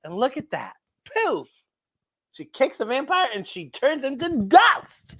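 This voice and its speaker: Lively high-voiced woman telling kids' stories. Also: a little slow. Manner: excitable, playful, exaggerated expressive delivery. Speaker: children's story time host. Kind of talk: animated children's storytelling.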